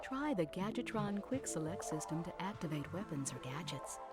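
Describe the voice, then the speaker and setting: A woman's voice: fairly low pitch, very animated, moderate pace. An actress voicing a female tutorial announcer in a video game, giving scripted instructions in an instructional manner. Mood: instructive. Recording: game audio under music. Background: game music.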